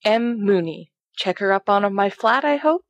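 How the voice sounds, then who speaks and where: A woman's voice: medium pitch, animated, a little slow, no echo. Female reader as a cheeky, blunt young man in an audio drama, mock-formal, with a dry close sound. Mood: mock-indignant. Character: brash, teasing young male letter-writer. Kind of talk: dramatic reading of letters.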